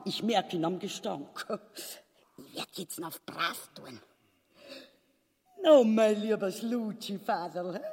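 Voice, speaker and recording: A sharp-tongued, self-pitying schemer of a wife in broad Austrian dialect for a radio play. The voice sits rather high and is husky, with breathy asides and theatrical laments. Long reverb surrounds every word. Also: male voice, exaggerated voice. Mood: sly, wheedling. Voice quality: raspy, creaky. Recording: long reverberant hall echo.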